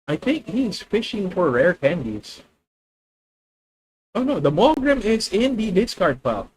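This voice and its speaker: Filipino-accented streamer thinking aloud. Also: male voice, high-pitched, sing-song, a little slow, slight background noise, rough recording. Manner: thinking aloud, self-correcting, addresses viewers. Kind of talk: live gameplay commentary.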